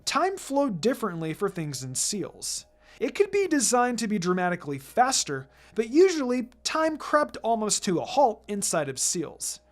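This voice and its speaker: A narrator reading a fan fiction story aloud in an expressive, scripted storytelling style. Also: mid-range voice, moderate pace. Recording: music behind the voice. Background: background music.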